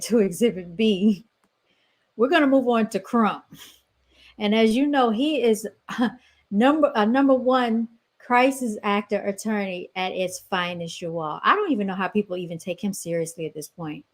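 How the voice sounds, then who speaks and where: A woman with a mid-range voice hosts a casual commentary podcast. She talks straight to her listeners in a chatty, mocking tone. Her delivery is loose and informal. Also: medium pitch. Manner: chatty and sarcastic, laughs often, keeps addressing listeners. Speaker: podcast host. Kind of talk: casual commentary reacting to clips.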